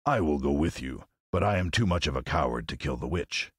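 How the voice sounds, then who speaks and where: A man's voice: rumbling low, animated, brisk, breathy edge, no echo, clean studio sound, pitched below the performer's own voice, exaggerated voice. A man voicing a cowardly lion who talks big but is timid at heart, in a storybook reading. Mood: downcast.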